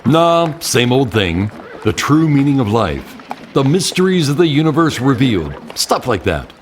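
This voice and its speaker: A man's voice: medium pitch, sing-song, measured pace, a little hiss or hum. An actor playing a dry, teasing older martial arts mentor, a man, in an audio drama. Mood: wry.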